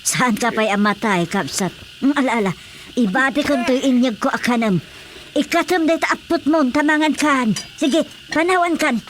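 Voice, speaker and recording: An actress playing a fussing woman in a hospital ward in a radio drama, exclamatory and drawn-out. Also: high-pitched, lightly acted. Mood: brisk, teasing. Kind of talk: Ilocano radio drama acting.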